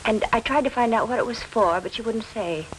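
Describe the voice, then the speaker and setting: A woman playing a worried, earnest accused woman who recalls events in a hesitant, quiet way, in 1940s film style. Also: on the high side, a little fast, slight background noise, close to an everyday voice, low-quality recording. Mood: subdued.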